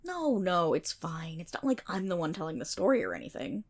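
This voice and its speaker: A woman's voice: on the low side, sing-song, fairly quick. A woman playing a nerdy, playful best friend in an ASMR roleplay, telling stories in a chatty, dramatic, self-interrupting way with mock exasperation. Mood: mock sulky. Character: nerdy, playful female best friend rambling excitedly about mythology. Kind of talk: ASMR roleplay, casual storytelling monologue.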